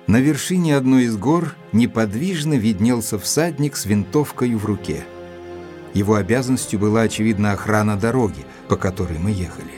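An actor playing an observant gentleman doctor, narrating his adventure in measured, literary fashion for an audio drama. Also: fairly low pitch, soft background music, natural voice. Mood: reflective. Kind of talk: scripted first-person narration in audio drama.

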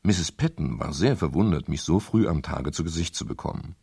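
Wry male private eye, gravelly and animated, gallant, ironic, radio crime drama. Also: very deep voice, brisk, full character voice. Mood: dry narrating. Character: wry male private detective, former Scotland Yard officer, narrating. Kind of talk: 1970s German crime radio drama, narration and dialogue.

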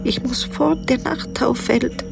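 An actress playing an anxious, uneasy woman in a radio drama, speaking in a hushed, apprehensive way. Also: sits high, fairly even intonation, slow, creaky, music in the background, full character voice. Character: anxious woman, uneasy with her menacing lover. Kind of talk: literary radio drama acting.

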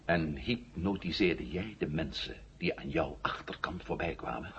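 A man's voice: rumbling low, moderate pace. An actor playing a cocky, determined hero questioning his captive in a 1970s radio drama, wry and commanding. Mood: interrogating. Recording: muffled, noisy old radio drama recording.

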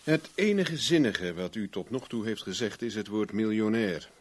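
An actor in a 1970s Dutch radio drama playing a stubborn, unimpressed investigator, curt and dryly sardonic. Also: male voice, on the low side, animated, normal speed, slightly rough. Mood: dry irony.

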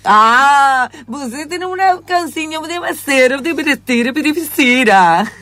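A woman's voice: high voice, animated, takes it slowly, pushed and bright, no echo, heavily acted. A woman playing a flirty, playful Brazilian hotel guest in an improvised radio play, mixing mangled Portuguese into her Spanish.

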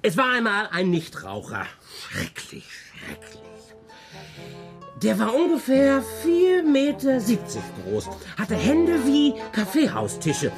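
A man's voice: high-pitched, wildly swooping pitch, slow, music in the background, exaggerated voice. An older man playing a whimsical, grumbling grandfather in a radio play, telling tall tales with theatrical emphasis and muttered asides. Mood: theatrical storytelling.

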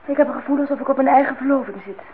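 Actrice als vrijpostige jonge vrouw, hoge stem. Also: female voice, sits high, racing, lightly acted. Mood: teasing. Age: young adult. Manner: pert, direct, questioning. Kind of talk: radio play acting, intimate dialogue. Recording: tinny, noisy old radio play recording.

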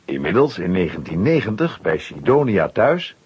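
A man's voice: low-pitched, big pitch swings, moderate pace, slight background noise. A man narrating a children's audio play in a scripted storytelling style. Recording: slightly dull old audio recording.

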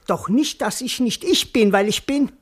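A man's voice: very high-pitched, normal speed. A man acting a cowardly, indignant, wily servant in a classical verse radio comedy, with theatrical, plaintive declamation.

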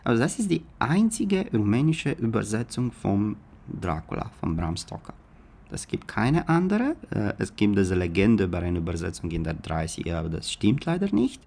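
A man's voice: fairly low pitch, very animated, moderate pace, creaky, a little mellow. A man around forty with a Romanian accent, a historian talking off the cuff in an interview in his second language, searching for words. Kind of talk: spontaneous interview talk.

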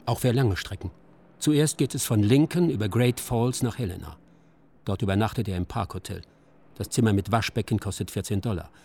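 A man's voice: lowish voice, brisk, a little mellow, husky. A man narrating a scripted radio documentary drama in a sober, clipped manner. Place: dry studio.

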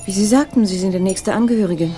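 An actress playing a cool, prickly, sharp-tongued woman in a 1960s German radio crime drama, with a curt, cutting manner. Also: medium pitch, fast talker, natural voice. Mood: cold, cutting. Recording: vintage radio drama, music behind.

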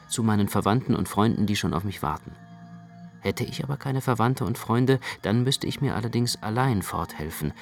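A man's voice: lowish voice, close to an everyday voice. A man narrating a radio play in the first person as an anxious, brooding literary storyteller. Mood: anxious, resigned.

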